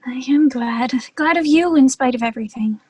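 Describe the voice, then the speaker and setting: A woman's voice: sits high, normal speed, dark tone, husky, no echo. A young woman acting a timid, torn young woman in love in a stage melodrama, hesitant and earnest. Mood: timid, defensive. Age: young adult. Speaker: student actor. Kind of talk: stage acting in a one-act period melodrama.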